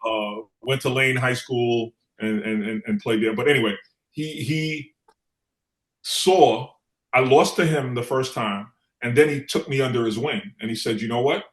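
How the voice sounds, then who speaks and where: A man's voice: mid-range voice, normal speed. A middle-aged man from New York City speaking as an interview guest, telling stories conversationally and repeating phrases for emphasis. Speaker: guest, former city council member. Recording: echoey room sound.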